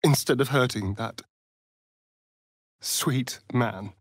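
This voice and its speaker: A man's voice: mid-range voice, slow pace, no echo, clean studio sound. A male actor with an English accent voicing a sardonic, seductive, vain vampire rogue in a video game, with an arch, flamboyant drawl. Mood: brooding, earnest. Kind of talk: theatrical video game dialogue.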